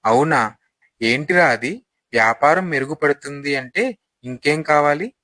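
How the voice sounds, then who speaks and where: A man's voice: fairly high pitch, lively intonation, a little slow, sharp tone, no echo, studio quality. A man playing an eager, gullible young son in a moral story, trusting and earnest.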